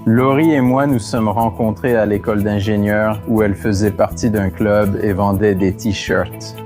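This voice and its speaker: A man's voice: fairly low pitch, steady tone, measured pace, close to an everyday voice. A man voicing an affectionate young groom-to-be giving a testimonial in a dubbed reality show. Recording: music playing under the voice.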